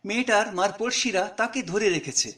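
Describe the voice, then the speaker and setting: A man's voice: sits high, normal speed, a bit bright. A man with an Indian Bengali accent reading his own short story aloud as dramatic, conversational narration.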